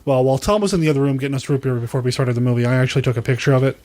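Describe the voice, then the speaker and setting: A man's voice: medium pitch, rapid-fire, darkish tone. A man hosting a podcast, chatting informally and jokingly about films, often addressing his guest as "man.". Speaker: podcast host. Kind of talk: casual film chat. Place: home theater.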